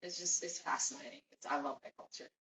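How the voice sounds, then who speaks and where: Russian woman, chatty and giggly, lowish voice, roomy echo, mic a little far off. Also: fast talker, sharp tone. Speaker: date. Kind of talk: casual conversation. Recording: echoey room, distant mic, light noise.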